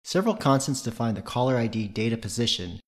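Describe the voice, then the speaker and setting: A man's voice: medium pitch, animated, quick pace. A man presenting a tutorial, reading scripted technical narration in a methodical, matter-of-fact way.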